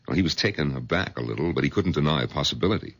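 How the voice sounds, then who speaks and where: A skeptical middle-aged doctor with a deep, gravelly, husky voice. He talks in exasperated swings of pitch, rising sharply when pushed. The delivery belongs to classic radio drama. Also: expressive, very fast, full character voice. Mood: bewildered. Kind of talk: 1970s radio drama acting.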